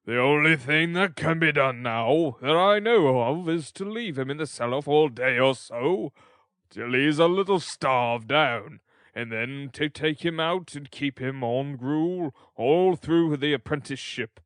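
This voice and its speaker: A man's voice: fairly high pitch, lively intonation, unhurried, fairly bright tone, dry recording, studio quality. A man voicing a pompous middle-aged parish beadle who lectures sternly. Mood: stern lecturing. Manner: stern and self-important.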